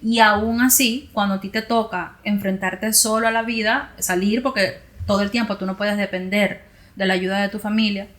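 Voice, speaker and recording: A woman with a Cuban accent, a podcast host thinking out loud in a relaxed conversation with her guests. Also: mid-range voice, moderate pace. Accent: Cuban Spanish. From Cuba. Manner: reflective, speaks in long explanatory runs. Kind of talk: casual conversation.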